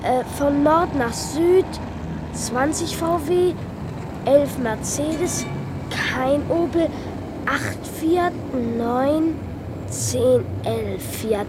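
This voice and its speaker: Earnest boy for radio drama, very slow absorbed counting, set in heavy street noise. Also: sits high. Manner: methodical, reciting, absorbed. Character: boy earnestly tallying passing cars in a notepad. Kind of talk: radio play acting, counting aloud in a list. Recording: very noisy street with passing cars.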